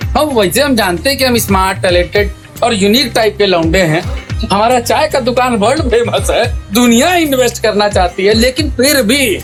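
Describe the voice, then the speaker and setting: An actor playing a cocky, suspicious young tea seller with a Bihari accent and colloquial, blustering way of talking in an audio drama. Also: male voice, extremely high pitch, animated, normal speed, faint music behind. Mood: boastful, doubtful.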